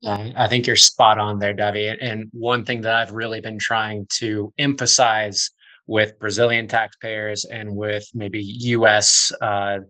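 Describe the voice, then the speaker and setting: A man's voice: lowish voice, little pitch movement, measured pace, bright, forceful tone, rough recording. A man hosting a podcast interview, talking conversationally and checking in with "right?" and "you know". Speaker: podcast host.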